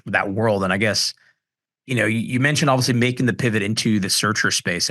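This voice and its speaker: A man's voice: fairly low pitch, bright, forceful tone. A man co-hosting a business podcast, asking long rambling questions with many "right?"s and "you know"s. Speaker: podcast co-host. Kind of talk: conversational podcast interviewing.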